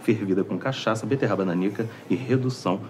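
An actor playing an overly formal waiter at a pretentious restaurant, speaking in polite, hushed serving patter. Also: male voice, lowish voice, quick pace, close to an everyday voice. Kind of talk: sketch comedy acting. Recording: light background noise from a played clip.

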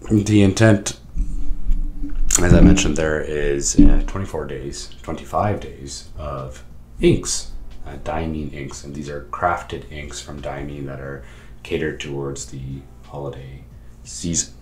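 Hoarse thirties man, very deep, excited rambling host, roomy room with faint hiss. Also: slow pace. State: lost voice, hoarse. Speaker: stationery vlogger. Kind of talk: casual show-and-tell. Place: home study with lamps and bookshelves.

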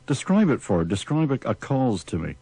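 A man's voice: medium pitch, very animated, normal speed, warm tone, slightly rough, no room sound. A man interviewing on radio in a baffled, questioning manner.

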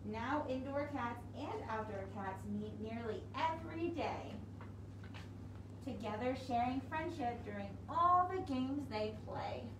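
A woman's voice: a little high, expressive, slow pace. A woman hosting a preschool storytime, speaking simply and encouragingly to small children through a cloth mask in a library room. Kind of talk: read-aloud and instructions for young children. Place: library room with wooden walls and windows, indoors. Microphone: camera a few feet away, speaking through cloth mask. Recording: roomy, distant mic with strong noise.

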